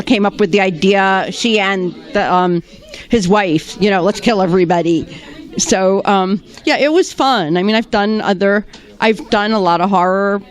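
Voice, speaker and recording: Hesitant actress at a red carpet. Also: female voice, medium pitch. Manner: hesitant, many ums and restarts.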